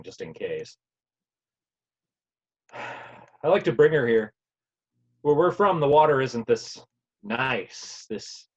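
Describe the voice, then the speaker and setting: An actor playing a fond, watchful, nostalgic parent with a halting manner, in a naturalistic play reading over a video call. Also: male voice, medium pitch, breathy edge, full character voice. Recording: dull, lo-fi video-call sound.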